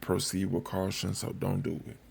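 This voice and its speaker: A man giving casual, off-the-cuff commentary with a gravelly, creaky voice. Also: deep voice, completely flat delivery, normal speed. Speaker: video presenter commenting on archive footage. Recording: clear background noise.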